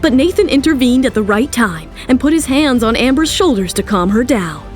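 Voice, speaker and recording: A woman's voice: a little high, animated, normal speed, soft background music. A woman narrator giving a scripted, dramatic telling of a story.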